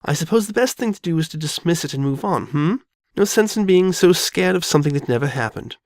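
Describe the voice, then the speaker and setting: An elegant, genteel mare acted out by a man speaks with refined, theatrical poise. The pitch sits fairly high and swings expressively through formal, tender-hearted phrasing. It is a cartoon-pony drama, recorded close and dry. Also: expressive, quick pace, no echo. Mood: composed, concerned. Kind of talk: dramatic fan fiction reading.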